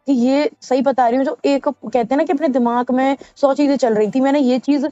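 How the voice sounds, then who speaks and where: A woman's voice: high-pitched, steady tone, a little fast, dark tone, no room sound. A woman in an emotional, casual phone conversation, aggrieved and insistent, often repeating her points. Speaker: caller in a private phone conversation. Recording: dull lo-fi phone call recording, music behind.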